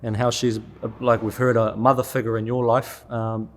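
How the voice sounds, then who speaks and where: A man's voice: medium pitch, level tone, normal speed. A man hosting a casual podcast interview, asking questions in an upbeat, encouraging way. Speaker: podcast host.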